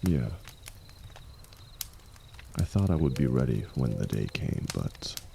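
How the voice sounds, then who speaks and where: A man playing an aging, wounded warrior, the hero's loyal and gentle shield, speaking softly and haltingly in an intimate audio roleplay. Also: rumbling low, very animated, creaky, slight background noise, heavily acted. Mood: scared, weary. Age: older. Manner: soft, halting, confiding, reassuring.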